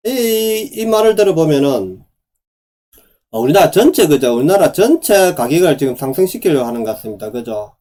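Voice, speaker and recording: A middle-aged man with a sore, hoarse throat, giving insistent solo commentary on housing policy and asking the viewer to agree again and again. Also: a little high, very animated, moderate pace, mellow. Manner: repeats "그죠?" to the viewer, rhetorical and insistent. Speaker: real estate commentator.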